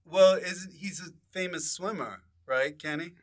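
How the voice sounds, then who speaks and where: A man's voice: high voice, unhurried. A man hosting a comedy podcast in a casual, rambling, irreverent and joking way.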